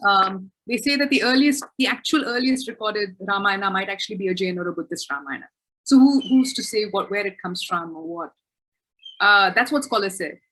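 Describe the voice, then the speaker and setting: A woman's voice: medium pitch, expressive, fairly quick, fairly bright tone, low-quality recording. A woman author answering questions in a webinar, thoughtful and self-correcting, with frequent restarts.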